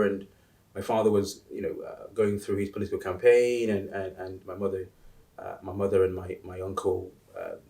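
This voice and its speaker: Low-voiced man, halting, off-mic. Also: a little mellow, far from the mic. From Uganda. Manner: halting, many restarts and fillers. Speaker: interview guest. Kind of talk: casual interview talk.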